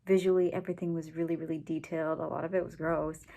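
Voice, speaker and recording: A woman gives casual audience feedback at a quick clip. Her voice is fairly low with noticeable fry and stays even in pitch. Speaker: film festival audience member. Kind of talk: casual spoken film review.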